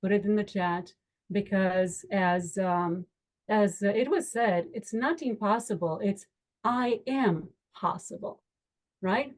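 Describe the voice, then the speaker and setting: A woman from Romania, an attorney, addressing a virtual community meetup in an earnest, uplifting way on a video call. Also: medium pitch. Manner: earnest, uplifting, slow and deliberate with emphatic lists. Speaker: attorney and education board member.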